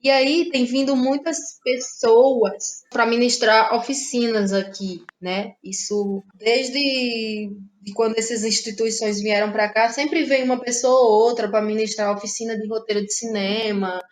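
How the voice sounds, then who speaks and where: Brazilian woman from the northeast, cearense accent, highish voice, chatting thoughtfully in an interview, lots of tag questions. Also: steady tone, unhurried. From Ceará, northeastern Brazil. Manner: reflective, ends phrases with tag questions seeking agreement. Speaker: interview guest, playwright and actress. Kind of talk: conversational interview.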